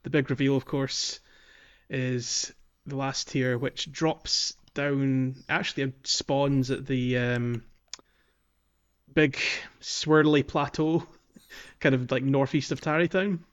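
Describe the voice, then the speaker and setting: A man of medium pitch co-hosts a gaming podcast in a casual, chatty way. The sound is a little muffled and lo-fi. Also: steady tone, takes it slowly, clear, resonant tone. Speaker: podcast co-host. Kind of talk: casual chat about a video game. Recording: slightly dull, lo-fi microphone.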